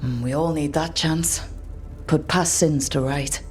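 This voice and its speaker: An actress playing a curious, teasing young adventurer in a fantasy video game, speaking in a casual, dry way. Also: female voice, sits low, fairly even intonation, measured pace, soft background music. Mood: wry, thoughtful.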